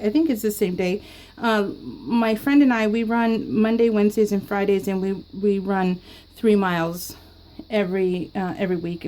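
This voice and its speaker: A middle-aged woman talks over a craft video as if to friends. Her voice sits at an average pitch. She rambles through everyday anecdotes in an informal, giggly manner. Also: a little mellow, low-quality recording. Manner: giggly, frequently laughing, rambling anecdotal storytelling. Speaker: scrapbooking vlogger. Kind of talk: casual chatty voice-over of a craft process video.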